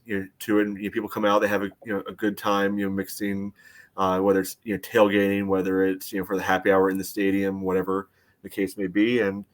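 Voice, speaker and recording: A man's voice: low-pitched, fairly bright tone. A man talking casually as a panelist on a sports podcast, padding his sentences with hesitant filler phrases. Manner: hesitant, filler-heavy phrasing with frequent "you know".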